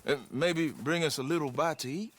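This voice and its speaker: An actor playing an indignant, excitable escaped convict in a comic Western game, given to colourful insults. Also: male voice, fairly high pitch, moderate pace. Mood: pleading. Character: indignant, feisty male escaped convict, bickering sidekick. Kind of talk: video game cutscene acting, Western comedy.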